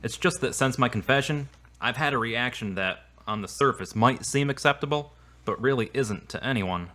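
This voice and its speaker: A man's voice: mid-range voice, moderate pace, sharp tone, lightly acted. A man playing an earnest, polite religious believer in an amateur audio drama.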